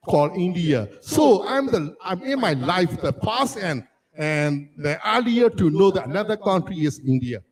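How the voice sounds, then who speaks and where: A man from Myanmar whose first language is Arakanese, telling a childhood story as a panelist in halting, restarting English. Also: sits high, a little mellow, rough recording. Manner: halting, restarts sentences, non-native phrasing. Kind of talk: personal story at a panel discussion.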